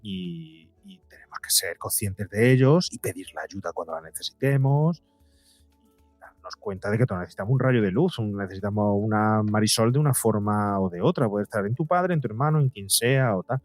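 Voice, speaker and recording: A man speaking as a psychologist guest on a podcast, explaining things conversationally in a wordy, halting way with frequent tag questions. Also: on the low side, lively intonation, no room sound, soft background music.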